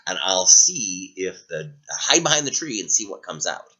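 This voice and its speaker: A man's voice: low voice, sing-song, normal speed, pushed and bright, pitched below the performer's own voice, full character voice. A man voicing a big, strong, cautious and polite knight in a story, sounding curious. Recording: dull lo-fi recording.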